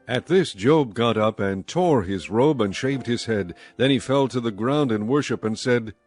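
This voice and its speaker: A man reading scripted scripture narration as narrator of a dramatized audio Bible, in a measured, solemn manner. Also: mid-range voice, soft background music.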